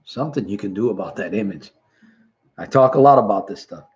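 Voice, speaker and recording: A man's voice: fairly low pitch, expressive, fairly quick, darkish tone, muffled recording. A middle-aged man from Puerto Rico, raised in Connecticut, hosting a motivational live stream and reading from the chat with earnest, repetitive emphasis. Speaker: livestream host and recovery coach.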